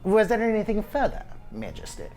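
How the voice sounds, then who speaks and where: A woman's voice: medium pitch, big pitch swings, a little fast, a little mellow, faint music behind, voice pushed up. A woman voicing an elderly male gnome courtier, sly and deferential, speaking in formal, fawning courtly phrases for a tabletop fantasy game.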